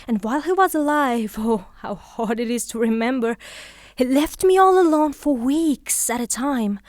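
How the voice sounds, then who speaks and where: An actress playing a proud, passionate young widow in a theatrical audio drama, haughty and dramatic in manner. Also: female voice, high voice, normal speed, no echo, clean studio sound, close to an everyday voice. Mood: wounded, passionate.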